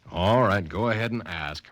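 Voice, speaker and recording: A man's voice: fairly low pitch, fairly bright tone, dry recording. An actor playing a nervous, evasive young man from a rich family, edgy and defensive, in a 1940s radio drama.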